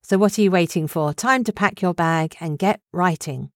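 A woman's voice: on the low side, moderate pace, no room sound. British woman reading a promo, dry recording. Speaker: presenter promoting a course. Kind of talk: scripted promotional narration.

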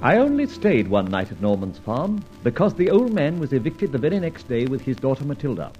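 An actor playing a suave adventurer sleuth in an old radio drama, speaking in an articulate, wry, theatrical manner. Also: male voice, medium pitch, big pitch swings, fairly quick, close to an everyday voice. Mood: grave narration. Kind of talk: old radio drama acting with scripted narration. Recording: muffled old radio recording with music.

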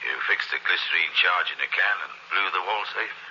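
Casting: male police sergeant for radio drama, low forceful voice, urgent questioning, muffled vintage recording. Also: fairly quick. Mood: brisk questioning. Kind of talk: old-time radio drama acting. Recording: muffled old radio recording, faint hiss.

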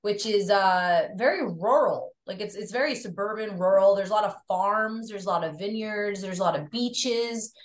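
A woman's voice: mid-range voice, normal speed, hardly any pauses. Woman guest, faint Long Island accent, loose and talkative, room echo on the voice. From Long Island, New York. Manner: chatty, heavy use of "like". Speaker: podcast guest. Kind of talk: casual interview chat. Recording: roomy echo.

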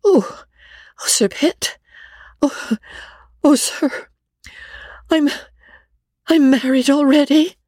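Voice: mid-range voice, wildly swooping pitch, a little slow, slightly rough, no echo, voice pushed up, full character voice. A woman voicing a demure, agitated young governess who speaks hesitantly and breathlessly in an audiobook. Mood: agitated, tearful.

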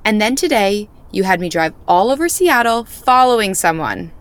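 An actress playing a skeptical, worried friend with a wry, teasing manner in an audio drama. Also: female voice, mid-range voice, very animated, normal speed, close to an everyday voice.

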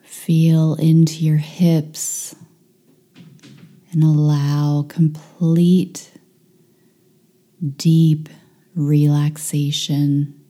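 A woman leading a guided meditation, giving calm, hushed, soothing instructions with long pauses between phrases. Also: sits low.

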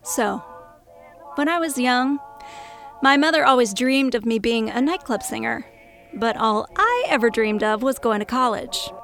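An actress playing a blunt, brainy, world-weary scientist in an audio drama, with a dry, sharp-tongued manner. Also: female voice, a little high, animated, a little slow, faint music behind. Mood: wry, explanatory. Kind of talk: comic audio drama acting.

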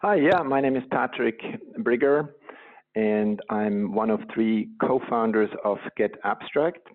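A man with a Swiss accent answering interview questions in a relaxed, hesitant way. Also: lowish voice, measured pace. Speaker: guest, company co-founder. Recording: tinny phone line.

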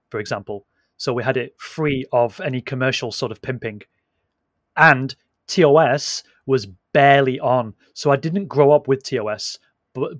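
A man who grew up in Hong Kong, Spain and England, giving relaxed, reflective answers as a podcast guest. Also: medium pitch, no echo, studio quality. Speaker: podcast guest, audio drama creator. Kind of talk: casual interview answers.

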